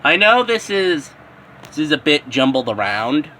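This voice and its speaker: A man's voice: fairly high pitch, big pitch swings, unhurried, pushed and bright, a little hiss or hum. A man narrating improvised fan fiction, stumbling and repeating words as he goes. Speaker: storyteller.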